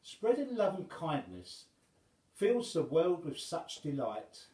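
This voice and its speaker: Guy telling a childrens story, takes his time, really animated, echoey room. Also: on the high side, slow. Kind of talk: expressive children's story reading.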